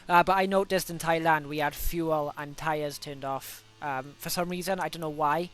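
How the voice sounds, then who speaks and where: A man's voice: fairly high pitch, steady tone, moderate pace, a bit bright, soft background music. Welsh man talking through his racing laps. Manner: chatty, self-correcting, many fillers. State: a cold coming on, voice rough. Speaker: gaming video host. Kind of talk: casual gameplay commentary.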